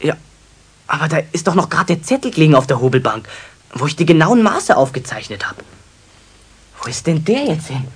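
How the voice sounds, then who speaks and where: A good-natured young apprentice in a 1970s children's radio play. He speaks eagerly and politely with a Bavarian accent. The voice is a little husky and moves up and down freely. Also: on the high side, very animated, brisk, pauses often. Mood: puzzled, eager. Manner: chatty, earnest, polite.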